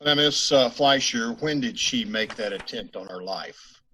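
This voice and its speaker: A man playing a calm, courteous police detective questioning a witness in old-time radio style. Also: medium pitch, a little slow, a little hiss or hum. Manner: level, courteous, businesslike questioning.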